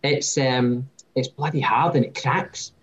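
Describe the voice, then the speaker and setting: A man's voice: medium pitch, fairly even intonation, unhurried, fairly bright tone, a little hiss or hum. A man with a Scottish accent chatting casually on a video call as a distillery co-founder, rambling and swearing lightly.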